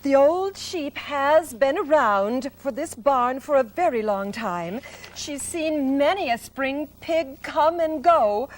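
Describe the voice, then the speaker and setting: A proud, clever spider speaks in a lilting, unhurried voice, as a woman puts her on. She sounds matter-of-fact and a touch grand, her pitch rising and falling a lot. It is a storybook read-aloud. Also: expressive. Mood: firm.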